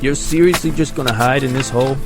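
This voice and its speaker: An actor playing an earnest, pushy and loyal comrade in an audio drama, speaking candidly. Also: male voice, mid-range voice, quick pace, over loud music, lightly acted. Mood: urging. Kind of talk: naturalistic audio drama acting.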